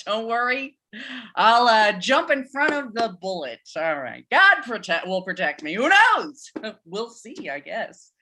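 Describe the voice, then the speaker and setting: A woman playing a joking, informal church pastor who speaks haltingly in a live staged play reading. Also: on the high side, very animated, sharp tone, a little hiss or hum, exaggerated voice. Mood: wry, joking. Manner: halting, chuckling, informal sermon delivery. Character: Pastor Reggie, rambling, joking, nervously candid church pastor.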